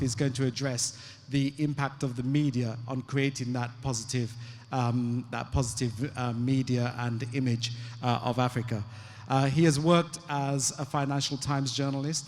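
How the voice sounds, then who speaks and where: A man hosting an event and introducing speakers, relaxed and full of fillers. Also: medium pitch. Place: large event hall. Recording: long hall reverb.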